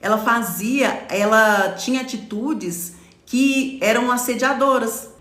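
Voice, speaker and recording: A woman's voice: fairly high pitch, slow, a bit bright, echoey room. A woman in her forties from Brazil, a lawyer hosting a live stream and explaining to her followers in a chatty way, often checking in with "tá?". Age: 40s. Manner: explanatory, stops often to read comments, says "tá?".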